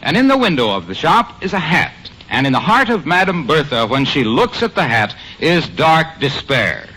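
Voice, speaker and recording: A man's voice: fairly high pitch, very animated, normal speed, sharp tone, a little hiss or hum, poor audio. A man with an American accent reading a script as an old-time radio announcer and narrator. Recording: old radio broadcast recording. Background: music.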